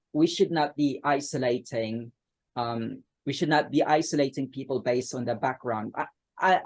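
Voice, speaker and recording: A man's voice: medium pitch, a little mellow. A man from the Philippines, answering interview questions hesitantly, with many ums and restarts. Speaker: guest author.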